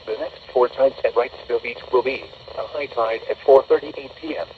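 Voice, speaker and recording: A synthetic American man's voice reading a measured, flat weather bulletin through a small radio speaker. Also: medium pitch. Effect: heard through a small radio speaker. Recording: dull, distant small radio speaker, noisy.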